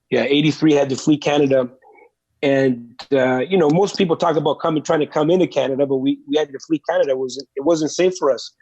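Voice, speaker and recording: A man's voice: a little high, darkish tone, no room sound, muffled recording. A man in his forties, from El Salvador, earnestly telling his life story as a podcast guest, with many fillers and restarts.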